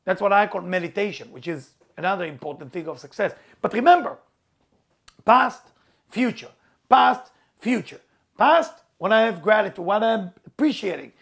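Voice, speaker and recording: Man, Hebrew native speaker, gravelly fry, fairly high voice, emphatic repetitive motivational teaching. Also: expressive, moderate pace. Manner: earnest, repetitive, emphatic, non-native phrasing. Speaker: spiritual teacher. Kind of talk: motivational talk to camera.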